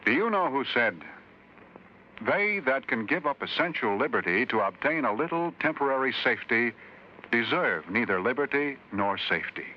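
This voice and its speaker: Solemn male announcer, muffled vintage radio. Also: mid-range voice. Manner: solemn, formal. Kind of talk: 1950s scripted public service narration. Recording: muffled, faintly noisy old radio recording.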